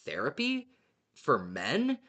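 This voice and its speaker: This is a podcast host in relaxed, casual fan discussion. The delivery is animated and unscripted, and swearing slips in freely. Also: medium pitch, slow.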